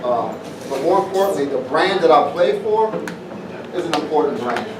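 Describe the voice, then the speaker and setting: A man's voice: on the high side, animated, normal speed. A middle-aged man from southwest Virginia, giving a plainspoken, informal speech to an audience at an evening community event. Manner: folksy, plainspoken, repeats phrases. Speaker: former pro football player announcing a festival. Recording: roomy, distant mic, noisy event.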